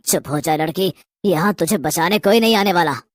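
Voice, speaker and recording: Reluctant male ghost, played by a woman: lowish, nervy, grumbling cartoon horror part, dry sound. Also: moderate pace, no room sound, natural voice. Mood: scheming, puzzled. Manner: nervous, protesting, then grudgingly obedient. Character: guilty, greedy man turned reluctant, grumbling male ghost. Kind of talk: Hindi horror cartoon story acting.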